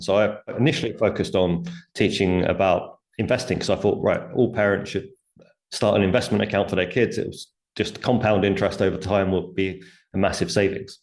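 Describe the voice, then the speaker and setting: A man with a low-pitched voice talks as an interview guest, laying out his reasoning step by step in conversational speech. He slips into imagined quotes as he explains. The room echoes noticeably around his voice. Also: a little mellow. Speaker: interview guest, writer and speaker on money. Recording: roomy, echoing sound.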